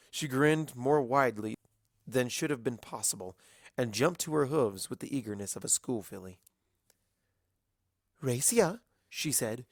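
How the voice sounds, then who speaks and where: Gravelly male story narrator. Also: mid-range voice, dry recording, studio quality. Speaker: fan fiction reader, narrator.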